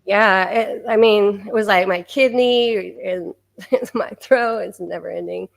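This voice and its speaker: A hoarse woman chats loosely through a home livestream, her voice worn rough from a lingering illness. She talks at an everyday middle pitch, laughs easily, and breaks off to clear her throat. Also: a little slow. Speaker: livestream host reading viewer comments. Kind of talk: casual chat.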